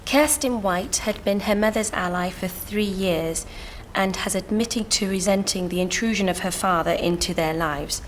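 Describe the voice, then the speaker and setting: A woman's voice: medium pitch, normal speed, hardly any pauses, a little hiss or hum, lightly acted. A woman in a British TV drama reading a careful, formal report on a family to the court. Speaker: children's guardian giving a report.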